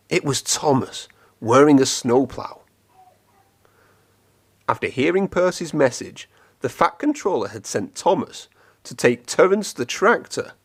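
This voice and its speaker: A man reading a children's storybook aloud in an expressive, clearly phrased storytelling manner. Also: mid-range voice. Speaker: storybook reader.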